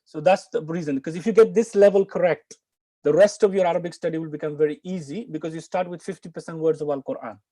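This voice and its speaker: A man's voice: fairly high pitch, fast, mellow, no echo. A middle-aged man teaching Arabic on a video call from a room at home, earnest and coaxing, often restarting his words. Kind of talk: live online class talk.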